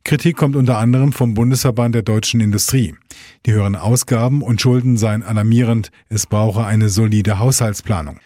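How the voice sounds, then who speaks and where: Mellow male German radio newsreader. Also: lowish voice, steady tone, fairly quick, dry recording, clean studio sound. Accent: standard German. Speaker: radio news anchor. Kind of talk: scripted news reading.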